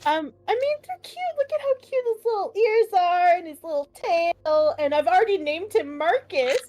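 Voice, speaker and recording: A woman's voice: very high voice, expressive, moderate pace, a little mellow. A woman chatting casually as a player in a tabletop roleplaying game.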